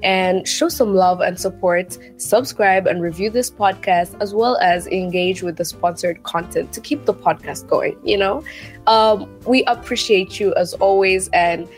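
A woman's voice: mid-range voice, unhurried, faint music behind. A young woman hosting a podcast, chatty and admiring toward her guest. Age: young adult. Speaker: podcast host. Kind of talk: podcast interview and outro.

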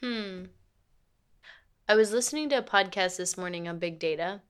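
A woman's voice: medium pitch, moderate pace, clear, resonant tone, close to an everyday voice. An actress playing a frank, principled lawyer and girlfriend in a radio play, speaking in a natural, articulate, matter-of-fact way. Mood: amused, chatty.

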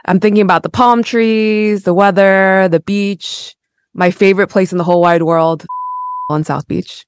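A woman's voice: medium pitch, fairly even intonation, normal speed, a little hiss or hum. A woman hosting a podcast, moving between a scripted introduction and casual interview chat. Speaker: podcast host.

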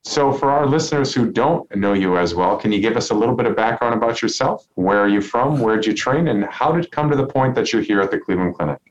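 A middle-aged man hosting a medical podcast and interviewing a guest in a measured way. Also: mid-range voice, expressive. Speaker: podcast host. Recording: dull, lo-fi sound.

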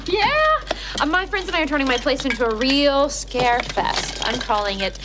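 A woman's voice: sits high, moderate pace, close to an everyday voice. A woman playing a playful, bubbly, adventurous college-age friend in a sitcom. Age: young adult. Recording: loud studio audience and noise behind.

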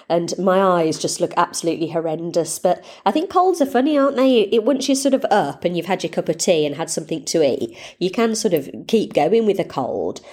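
Woman, stuffy nose from a cold, slightly low voice, chatty upbeat podcast host, rambling with fillers. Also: a little mellow. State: congested with a cold. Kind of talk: casual solo podcast chat.

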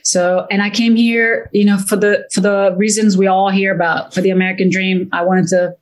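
A woman in her fifties from Italy, a wealth advisor chatting as a guest in an interview, eager and quick, often repeating words as she gets going. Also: mid-range voice. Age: 50s. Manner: enthusiastic, quick, repeats words when excited. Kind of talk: casual interview chat.

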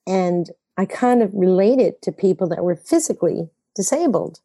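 A woman's voice: mid-range voice, animated, normal speed, warm tone, dry recording, clean studio sound. An older woman playwright talking in an interview, reflective and rambling, correcting herself mid-sentence with a wry sense of humor. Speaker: playwright guest. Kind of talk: conversational interview.

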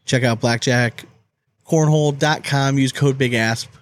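A man co-hosting a podcast, chatting informally and excitably. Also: medium pitch, a little slow. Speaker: podcast co-host. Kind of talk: casual chat, posing questions.

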